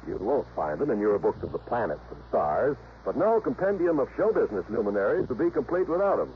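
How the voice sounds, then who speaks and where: An American man announcing in a scripted mid-century network radio style, formal and grandiose with carefully measured phrasing. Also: mid-range voice, sing-song. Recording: muffled old radio broadcast, faint noise.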